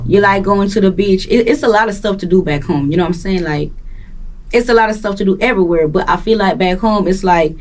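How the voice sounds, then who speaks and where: A woman's voice: mid-range voice, fast, recorded from a distance. A young woman from Jamaica with a Jamaican accent, talking casually as an interview guest in a laid-back, slangy, rambling way. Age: young adult. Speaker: guest musician.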